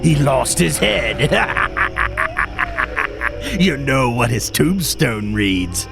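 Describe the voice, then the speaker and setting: An actor playing a gleefully macabre undead horror host, with a gravelly voice and sinister, punning, drawn-out delivery. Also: male voice, medium pitch, expressive, full character voice. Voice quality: creaky, gravelly. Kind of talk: horror anthology host outro. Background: loud music.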